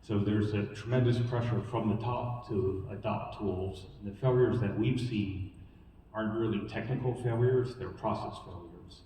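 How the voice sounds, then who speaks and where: A man on a panel giving a measured, analytical answer. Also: fairly low pitch, steady tone, dark tone, a little hiss or hum. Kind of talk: panel discussion. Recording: echoey hall, distant mic.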